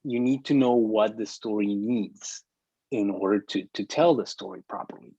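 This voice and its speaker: Husky low-voiced man, deliberate and halting. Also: mellow, no echo, highs cut off. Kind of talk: explaining in group discussion.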